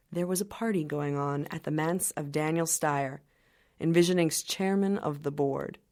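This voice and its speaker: An actress playing a wry insider who narrates an audio drama in measured scripted narration. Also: female voice, deep voice, darkish tone, natural voice.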